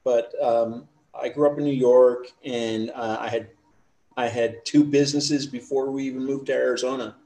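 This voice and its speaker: A man's voice: medium pitch, fairly even intonation, normal speed, dark tone, echoey room, poor audio. A middle-aged man from the United States, chatting easily as a podcast guest. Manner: easygoing, chatty storytelling. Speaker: interview guest. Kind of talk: casual chat.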